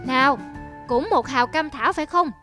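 A man's voice: extremely high pitch, a little fast, sharp tone. A man playing a grumpy, fussy old shopkeeper in a cartoon, scolding and grumbling. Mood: gruff. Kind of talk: cartoon character acting. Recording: music under the voice.

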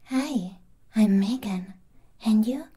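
A young woman playing a caring female AI companion doll in a gentle, whispery ASMR roleplay. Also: mid-range voice, crowd noise, natural voice. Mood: friendly, soothing. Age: young adult. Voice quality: breathy, whispery. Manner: gentle, slow, deliberate.

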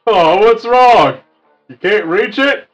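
A man plays an amiable cartoon wolf farmer, pitched high for a male voice. He sounds cheerful and folksy, teasing gently. The recording is slightly dull, with faint music underneath. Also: animated, slow. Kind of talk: improvised cartoon roleplay.

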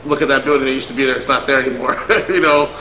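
A talkative male co-host with a bright, forceful voice who jokes his way through a casual chat. He drops "brother" and "man" into his sentences, and the room around him adds a roomy echo. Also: mid-range voice, steady tone, fast talker, slight background noise. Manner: chatty, joking, says brother and man a lot. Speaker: podcast co-host.

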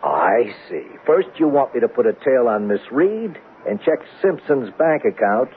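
An actor playing a curt, authoritative police captain in a 1970s radio drama. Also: male voice, mid-range voice, big pitch swings, a little slow. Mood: firm. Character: firm, diplomatic male police captain. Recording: tinny, noisy old radio recording.

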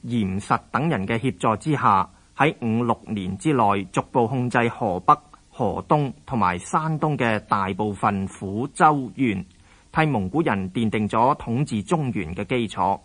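A male narrator reads a scripted historical account. He has a Hong Kong Cantonese accent and a voice of medium pitch. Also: a bit bright.

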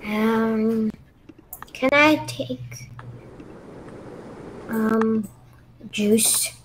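A woman playing a shy, nervous young schoolgirl who speaks haltingly in a game roleplay. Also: fairly high pitch, steady tone. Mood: hesitant. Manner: hesitant, halting. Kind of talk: improvised voiced game roleplay. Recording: noisy home mic with room ambience.

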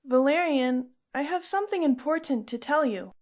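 A woman's voice: high-pitched, lively intonation, moderate pace, darkish tone, faint music behind. An actress playing a devout, resolute young Roman noblewoman in an audio drama, speaking earnestly and firmly. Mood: solemn, firm. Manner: earnest, firm.

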